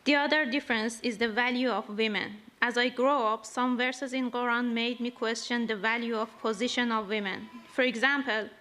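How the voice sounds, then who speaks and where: A woman not speaking her first language gives a slow, earnest testimony at church, reading carefully from prepared notes. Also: fairly high pitch, pushed and bright. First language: not English. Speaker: church member giving a testimony.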